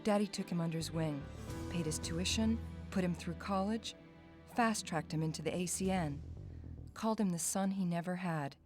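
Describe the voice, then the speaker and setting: An actress playing a poised, vengeful young heiress in a video game, cool and dry in delivery. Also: female voice, lowish voice, normal speed, darkish tone, soft background music, close to an everyday voice. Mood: matter-of-fact, reminiscing. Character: poised, sardonic, vengeful young heiress, murdered man's daughter. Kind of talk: video game cutscene acting.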